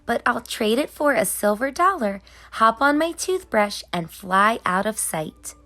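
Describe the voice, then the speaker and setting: Lady playing a whimsical tooth fairy for kids, sing-song rhymes, higher voice, faint music behind. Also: sing-song, measured pace, fairly bright tone, heavily acted. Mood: puzzled. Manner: sing-song rhyming delivery. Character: female tooth fairy, busy, whimsical, gently scolding. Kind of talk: children's storybook narration in character.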